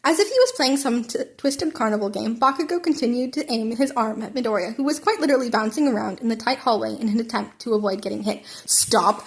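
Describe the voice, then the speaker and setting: A woman's voice: on the high side, fast talker, few pauses, breathy edge. A woman narrating fan fiction as an amateur audiobook, now and then stumbling and rereading a word.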